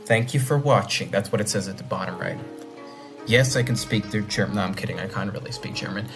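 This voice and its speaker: A young man whose first language is Dutch, rambling excitedly while reacting to an animation and thinking out loud. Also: lowish voice, fairly quick, music in the background. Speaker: reaction video host. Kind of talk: casual reaction commentary.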